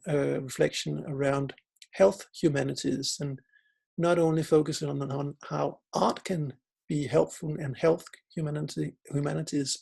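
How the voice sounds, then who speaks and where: A man's voice: fairly high pitch, creaky, a little mellow, slightly rough. A man with a Danish accent, a philosopher giving a reflective conference talk in English as a second language, often restarting and searching for words. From Denmark. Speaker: philosopher and researcher.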